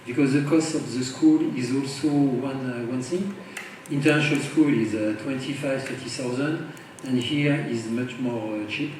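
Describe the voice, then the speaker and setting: A man on a parents' panel, speaking halting non-native English full of hesitations and restarts. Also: medium pitch, darkish tone, slight background noise. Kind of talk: panel discussion. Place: school hall. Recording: echoey hall, distant mic.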